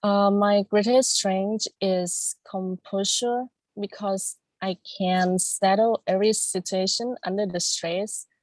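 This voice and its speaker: Young Thai woman student, slow, calm formal answers, dry sound. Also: mid-range voice, no room sound, poor audio. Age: young adult. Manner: calm, careful, formal wording. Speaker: university student. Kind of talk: mock job interview answers.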